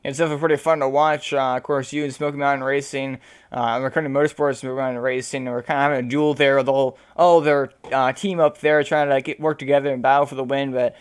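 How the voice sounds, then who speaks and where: A man with a hoarse, fading voice interviewing sim racing drivers after a race, rambling with many fillers. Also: mid-range voice, flat delivery, fast talker. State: losing his voice.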